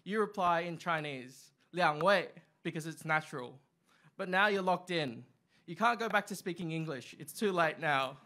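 A young man performing open mic stand-up, with a halting delivery full of ums and uhs, on a small club stage. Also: high voice, pushed and bright. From Australia. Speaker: stand-up comic. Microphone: stand mic, far camera.

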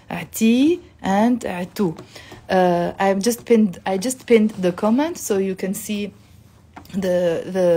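A woman in her thirties teaching a casual home video lesson, saying phrases slowly and repeating them so learners can copy her. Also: mid-range voice, darkish tone, a little hiss or hum. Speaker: Arabic teacher. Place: living room at home. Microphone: phone camera at arm's length.

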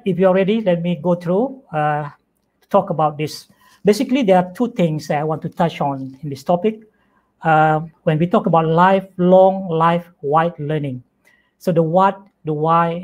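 A middle-aged man with a Malaysian accent giving a conversational keynote presentation, halting and repeating phrases for emphasis. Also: fairly high pitch, darkish tone. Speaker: professor, keynote speaker. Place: indoor office on a video call.

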